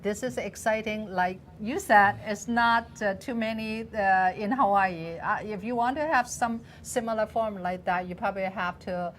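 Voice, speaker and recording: A woman raised in Hong Kong, with a Hong Kong accent and Cantonese as her first language, guests on a studio talk show. Her voice sits in a middle pitch, and she stops and starts often. A faint hiss runs under the recording. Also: normal speed, few pauses. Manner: hesitant, many fillers, laughs easily. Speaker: guest, business association president. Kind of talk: studio interview.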